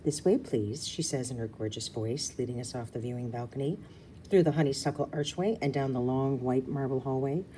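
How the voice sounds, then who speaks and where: A woman's voice: very deep voice, a little fast, dark tone, slight background noise, rough recording. A woman reading a children's story aloud expressively, slipping into casual asides. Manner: breaks off for casual asides and explanations. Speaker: book reader.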